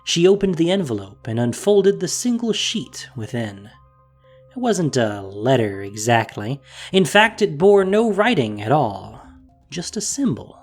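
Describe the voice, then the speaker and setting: A man narrating a fantasy audio drama with expressive storytelling. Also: a little high, a little slow, faint music behind.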